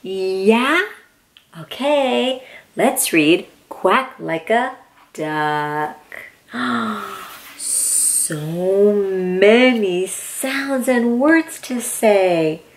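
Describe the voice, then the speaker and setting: A woman in her thirties reading a picture book aloud to young children in an excited, encouraging, exaggerated way. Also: mid-range voice, drawn-out words. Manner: exaggerated, sing-song animal noises and questions. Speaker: children's read-aloud host. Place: indoor room with plain wall.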